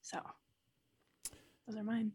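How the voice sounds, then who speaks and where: A woman's voice: takes it slowly, warm tone. A young woman co-hosting a podcast, talking casually with lots of likes and restarts. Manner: rambling, many likes and restarts. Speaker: podcast co-host.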